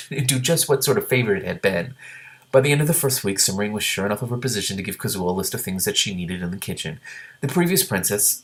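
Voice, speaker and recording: A man reading a novel aloud and breaking into spontaneous, animated and incredulous commentary. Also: lowish voice. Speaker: reader with commentary, show host.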